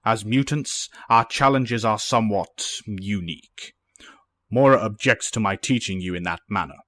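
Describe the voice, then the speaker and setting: Casting: male actor as calm fatherly mentor and headmaster, low voice, formal authority, dry close sound, audio drama. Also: no room sound, close to an everyday voice. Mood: patient, serious. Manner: measured, formal, gentle authority.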